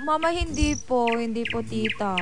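An actress playing a polite, dutiful Filipino high-school daughter with a childlike voice in a radio drama. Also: female voice, normal speed, a crowd in the background, natural voice. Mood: meek, protesting. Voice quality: childlike voice that sounds younger than her age. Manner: polite, respectful. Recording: music behind the voice.

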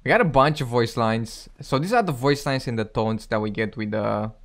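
A young man hosts a gaming video in a casual, chatty way. His voice sits at a middle pitch as he comments on the gameplay. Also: animated, moderate pace.

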